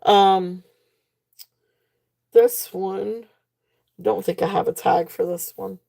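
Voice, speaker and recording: Woman, live sale host, mellow gravelly voice going hoarse, chatty with plenty of fillers. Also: medium pitch, steady tone, slow pace. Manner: chatty, fillers, reads comments aloud. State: losing her voice. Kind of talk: live auction selling chat.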